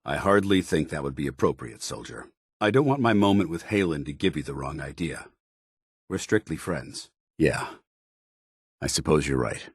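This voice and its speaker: An actor playing a stern, earnest, by-the-book soldier in a video game, speaking in a formal, measured military way. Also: male voice, a bass voice, expressive, husky, no echo, clean studio sound, exaggerated voice. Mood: earnest, guarded. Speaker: companion character in a video game. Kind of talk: scripted game dialogue.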